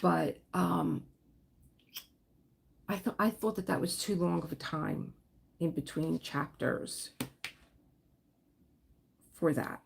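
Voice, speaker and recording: A woman hosting a relaxed livestream, chatting in a rambling way while she crafts and answers viewers. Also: lowish voice, slow, gravelly, long pauses, a little hiss or hum. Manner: rambling, chatty, laughs often, reads and answers viewer comments. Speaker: livestream host. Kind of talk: casual livestream chat while diamond painting.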